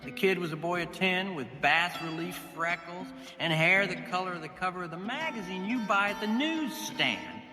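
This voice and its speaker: A man's voice: high-pitched, very animated, moderate pace, few pauses. An actor playing a folksy small-time swindler, narrating his caper in the first person with wry, wordy delivery. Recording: long reverb, music clearly behind.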